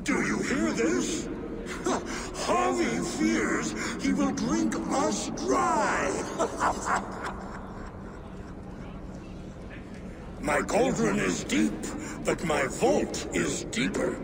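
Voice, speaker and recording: Aged frost giant king, made for a video game. He is grand and commanding, with an unusually high, wildly swinging voice. He speaks slowly and imperiously over loud ambient noise. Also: expressive, creaky, full character voice. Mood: mocking, jovial. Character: old bearded frost giant king, boisterous, imperious host. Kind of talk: video game cutscene acting.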